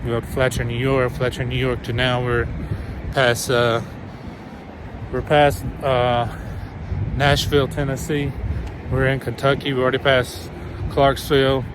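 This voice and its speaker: Halting, flat-toned man vlogging with background noise. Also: medium pitch. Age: 30s to 40s. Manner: halting, matter-of-fact. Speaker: truck driver vlogger. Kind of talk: casual vlog talk. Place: truck cab and open parking lots outdoors. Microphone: phone at arm's length. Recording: noisy outdoor and truck-cab phone recording.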